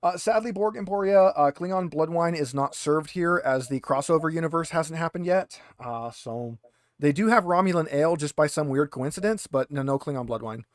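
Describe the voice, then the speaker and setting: A man narrating a tabletop game as game master, moving between read description and casual, halting explanation. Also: fairly high pitch, no echo, clean studio sound.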